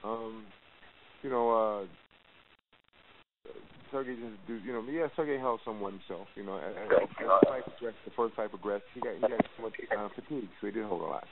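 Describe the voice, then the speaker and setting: Low-voiced coach on a phone line. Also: male voice, fairly quick. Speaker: boxing coach. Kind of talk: answering press questions. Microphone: phone call. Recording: hissy, narrowband phone conference line.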